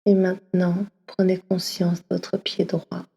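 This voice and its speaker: A woman leading a guided yoga nidra session, speaking slowly and softly, often slipping into a half-whisper. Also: fairly low pitch, monotone, vocal fry, husky, muffled recording. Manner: slow, soft, often half-whispered delivery. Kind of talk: guided meditation. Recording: long reverb as in a hall.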